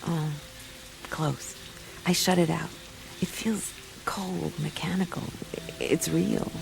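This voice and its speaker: A woman's voice: sits low, fairly even intonation, takes it slowly, gravelly, full character voice, rough recording. An actress playing a tough, wry woman private detective in a radio play, speaking in an offhand, slangy way. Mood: guarded, matter-of-fact.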